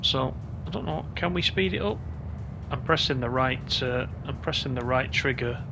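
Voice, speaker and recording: A man's voice: medium pitch, normal speed, vocal fry, music in the background. A man giving casual gameplay commentary as a video host, chatty and thinking aloud.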